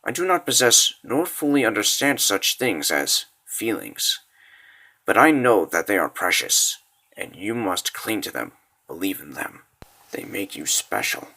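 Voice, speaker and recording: A man voicing a gentle, thoughtful robot companion in a comic dub, speaking in a measured, formal, musing way. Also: lowish voice, big pitch swings, slightly rough, voice pushed down, exaggerated voice, rough recording. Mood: calm, reassuring.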